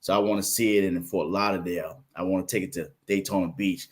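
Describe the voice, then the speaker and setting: A man in his fifties from Florida with a Southern accent, a guest in a casual interview who tells lively stories and acts out quoted voices. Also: deep voice, quick pace. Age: 50s. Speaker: podcast guest, playwright.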